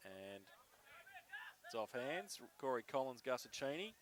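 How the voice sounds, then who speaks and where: Mid-pitched Australian man calling football. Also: big pitch swings, a little slow, fairly bright tone. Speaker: football play-by-play commentator. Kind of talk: live Australian rules football commentary.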